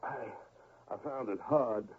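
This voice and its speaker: An actor in a radio drama playing a weary, injured father, speaking in a halting, subdued way. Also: mid-range voice, muffled recording, close to an everyday voice. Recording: thin old radio broadcast, faint noise.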